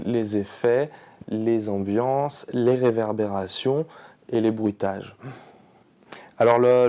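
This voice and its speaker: A man working in sound post-production, explaining his job in a measured, technical way. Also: mid-range voice, dark tone. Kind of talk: explanatory interview.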